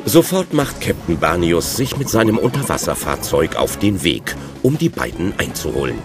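A man narrates a children's radio play in a deep voice. His delivery is hugely expressive, rising and falling dramatically, with clear music behind him. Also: moderate pace.

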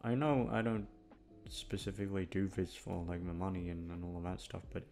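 A man's voice: low-pitched, fairly even intonation, brisk, creaky, a little mellow, faint music behind. A man chatting casually to his listeners, rambling in a downbeat, complaining way and swearing freely. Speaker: channel host, audio voice actor.

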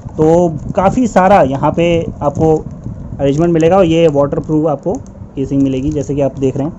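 A man's voice: on the high side, steady tone, normal speed, dark tone. Young male vlogger, conversational, recorded on a helmet action cam with dull audio. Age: young adult. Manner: chatty, addresses viewers directly. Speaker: motovlogger. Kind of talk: casual vlog narration. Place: indoors at home, then riding a motorcycle on city streets. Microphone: action camera mounted on helmet. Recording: lo-fi camera audio with traffic noise.

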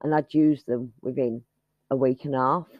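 A woman's voice: a bass voice, steady tone, moderate pace, dry recording, muffled recording. A woman chatting casually to her subscribers, rambling and self-mocking, with lots of restarts. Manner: chatty, self-mocking, scattered, starts with sung lines. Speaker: YouTube channel host.

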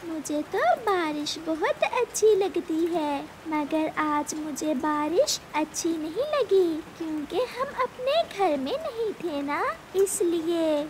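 A woman's voice: extremely high pitch, lively intonation, slow, hardly any pauses, a little mellow, a little hiss or hum, heavily acted. A woman voicing a sweet, chatty little girl bird in a cartoon, with a childlike, innocent manner. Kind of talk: Hindi animated moral-story dubbing.

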